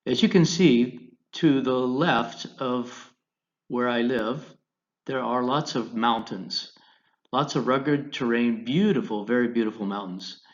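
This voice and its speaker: An American man teaching an online class, giving a deliberate, instructional lecture over slides, phrase by phrase. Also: medium pitch, dull audio. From United States, Colorado.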